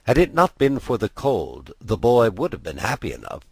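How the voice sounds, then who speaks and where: A man reading a story as an audiobook narrator, with measured, dramatic phrasing. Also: fairly low pitch, wildly swooping pitch, creaky, husky, dry recording. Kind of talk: expressive scripted storytelling narration.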